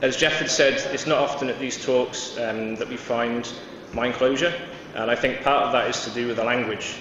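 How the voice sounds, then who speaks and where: A man's voice: medium pitch, steady tone, moderate pace, far from the mic, crowd noise. A man from Wales giving a conference presentation, hesitant, with many fillers and restarts. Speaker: conference speaker. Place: large conference hall. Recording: echoey hall, dull sound, noisy.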